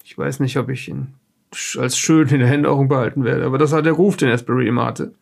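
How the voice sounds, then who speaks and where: An actor playing a peevish, well-off scholar who survived a flood, speaking hesitantly and fussily in an improvised audio drama. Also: male voice, medium pitch, fairly even intonation, fast talker, gravelly, darkish tone. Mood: wistful, hesitant. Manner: hesitant, fussy, snobbish.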